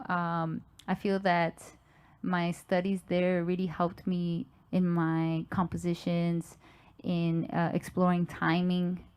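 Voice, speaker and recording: A woman from New York, a musician guest, chatting softly with many pauses and fillers. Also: fairly low pitch, smooth. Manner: soft-spoken, many fillers and restarts. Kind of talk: casual interview chat. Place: home live stream with guitar. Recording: live guitar music loud behind. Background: live guitar and singing.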